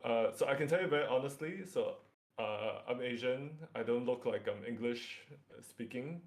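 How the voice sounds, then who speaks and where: A man's voice: medium pitch, normal speed. A man with a Singaporean accent and a mid-range voice talks through material as a workshop facilitator. His delivery is an informal lecture, feeling its way through phrases and often checking in with a short tag.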